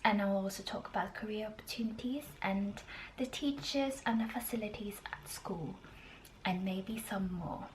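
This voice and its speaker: A woman, giving a casual, informative vlog in a halting way with drawn-out pauses. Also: medium pitch, slight background noise, low-quality recording. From Norway. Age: 20s. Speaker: student video blogger.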